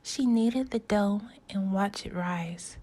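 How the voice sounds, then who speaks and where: A woman teacher reading a storybook aloud to children, in a deliberate, instructive way with questions to her listeners. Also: mid-range voice, warm tone. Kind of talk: slow storybook read-aloud and lesson for kids.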